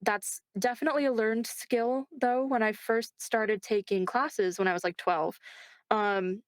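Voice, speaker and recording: A woman's voice: on the high side, fairly even intonation, unhurried, dry recording. A woman voice actor being interviewed, talking conversationally and reflectively, often breaking off to correct herself. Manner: chatty, self-interrupting, reflective.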